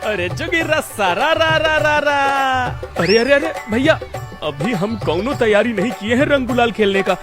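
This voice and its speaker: Boisterous cartoon villager played by a man: high, swooping, folksy and drawn-out, with music underneath. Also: animated. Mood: protesting. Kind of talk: cartoon voice acting.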